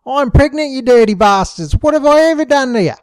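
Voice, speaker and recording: A man doing a shrill foul-mouthed Aussie woman. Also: animated, moderate pace, no room sound. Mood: yelling. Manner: yelling abuse, swearing nonstop.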